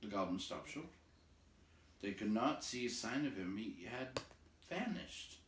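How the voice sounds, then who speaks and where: A man reading a story aloud in an expressive, deliberate, dramatic narration. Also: on the low side. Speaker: storybook reader. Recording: roomy, distant, faint background noise.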